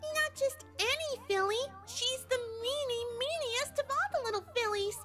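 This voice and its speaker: A woman's voice: very high-pitched, unhurried, bright, forceful tone, faint music behind, full character voice. A woman voicing a bubbly, childish young female cartoon pony with a playfully sinister streak. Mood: giddy, scheming. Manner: childish, sing-song, playfully menacing. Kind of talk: animated fan fiction audio drama.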